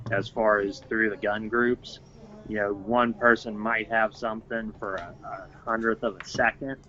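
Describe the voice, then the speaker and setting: Man, lowish voice, matter-of-fact group organizer; muffled lo-fi sound, faint hiss. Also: sharp tone. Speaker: gun-rights group organizer. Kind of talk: casual podcast chat.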